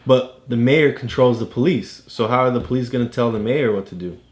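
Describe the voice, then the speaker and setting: A man's voice: medium pitch, a little fast. A man patiently explaining things to a child as a father during a read-aloud, teaching with questions and examples. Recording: roomy, echoey home recording.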